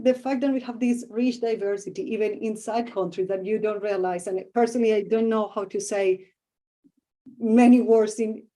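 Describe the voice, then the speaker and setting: A woman's voice: a little high, dark tone. A middle-aged woman with a Colombian Spanish accent, a professor answering interview questions thoughtfully and searching for words with many fillers.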